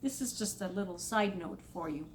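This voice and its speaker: A woman's voice: animated, normal speed, slight background noise. A young woman from Saudi Arabia with an Egyptian accent, talking to her viewers in a casual, emphatic commentary. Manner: emphatic, spikes in pitch when making a point. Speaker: vlogger.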